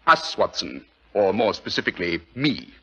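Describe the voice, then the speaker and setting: An actor playing an arrogant, witty English gentleman detective in a 1940s radio drama, sardonic and theatrical, with a British accent. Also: lowish voice, big pitch swings, moderate pace, breathy edge, exaggerated voice. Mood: condescending. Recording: dull vintage radio recording.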